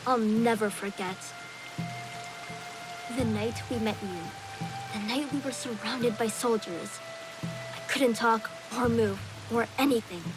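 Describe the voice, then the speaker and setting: High-pitched, earnest young boy. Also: takes it slowly, long pauses, music in the background, rough recording. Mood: solemn, determined. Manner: earnest, respectful, boyish. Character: earnest, eager young boy, a samurai's devoted pupil. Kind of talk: video game cutscene acting.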